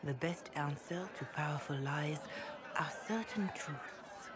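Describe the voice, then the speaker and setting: Older devout cleric, low-pitched, unhurried, solemn, formal, video game drama. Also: female voice, a crowd in the background, background noise. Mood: solemn, grave. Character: older solemn pious holy woman, grave and gentle.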